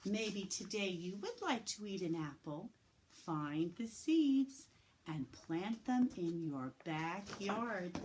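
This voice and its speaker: A woman teacher reading a picture book aloud to young children, slow and sing-song, stretching words and asking them questions. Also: medium pitch. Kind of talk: storybook read-aloud lesson for young children.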